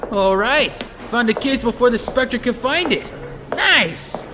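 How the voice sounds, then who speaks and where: A man's voice: very high-pitched, animated, takes it slowly, noisy background, exaggerated voice. An actor playing a chatty, wisecracking male police detective, a sardonic and eager sidekick in an audio drama. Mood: excited. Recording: reverberant hall, music underneath.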